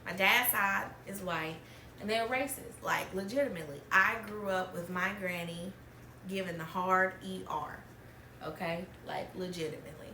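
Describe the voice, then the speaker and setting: A woman's voice: on the low side, moderate pace, pushed and bright, slight background noise. A woman, telling personal stories as a podcast host, chatty and laughing often. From Alabama, US. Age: 30s. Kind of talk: candid storytelling chat. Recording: roomy, distant mic.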